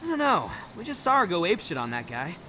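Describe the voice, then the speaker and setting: A blunt young teammate from a video game. The voice is low but jumps around animatedly, brash and slangy. Faint noise lies behind it. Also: female voice, sing-song, fast talker, sharp tone. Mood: skeptical.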